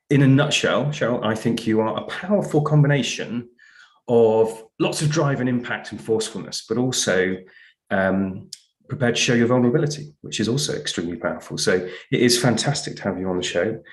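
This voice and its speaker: A man hosting a podcast, introducing a guest and asking questions in a measured, slightly hesitant way. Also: on the low side, echoey room, low-quality recording. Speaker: podcast host. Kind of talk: warm guest introduction and interview.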